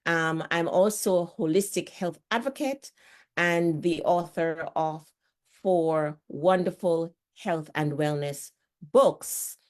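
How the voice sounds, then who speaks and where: A low-pitched middle-aged woman with a Jamaican accent talks in a relaxed, conversational way. She often repeats words as she goes, like a nurse explaining things to a friend. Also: slow pace. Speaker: nurse and author, guest. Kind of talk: casual conversational talk.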